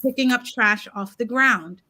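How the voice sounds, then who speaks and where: A woman co-hosting a casual livestream chat, talkative and quick to laugh, correcting herself as she goes. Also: fairly high pitch, sharp tone. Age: adult parent of a seven-year-old. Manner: chatty, laughs easily, self-correcting. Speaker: co-host.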